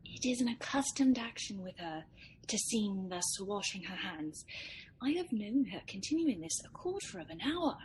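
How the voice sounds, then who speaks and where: A woman's voice: medium pitch, lively intonation, moderate pace, slight background noise, close to an everyday voice, rough recording. A woman playing a discreet, anxious attendant in a Shakespearean radio drama, guarded and confiding in delivery. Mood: hushed, anxious. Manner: guarded, confiding, often hushed.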